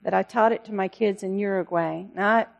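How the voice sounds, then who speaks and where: A woman missionary giving an earnest, pleading talk to a church congregation, with a loud voice. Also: mid-range voice, steady tone, a little fast, vocal fry, muffled recording. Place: church service.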